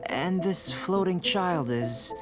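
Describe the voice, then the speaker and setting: A man voicing a composed young alchemist in a video game, speaking in a measured, precise and detached way. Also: high-pitched, animated, loud music behind the voice. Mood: calm, inquisitive. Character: calm, analytical young male alchemist researcher.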